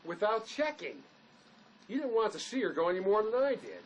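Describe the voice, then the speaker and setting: An actor playing a guarded, stubbornly protective fugitive in a drama, with a terse, questioning way of talking. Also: male voice, sits high, big pitch swings, brisk. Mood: defensive. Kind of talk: dramatic dialogue reading.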